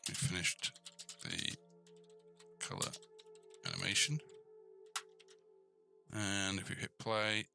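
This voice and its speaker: A man's voice: deep voice, wildly swooping pitch, slow pace, creaky, pauses often, bright, forceful tone, soft background music. A man giving an informal screencast coding tutorial, thinking aloud and checking in with the viewer as he goes. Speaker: programming tutor.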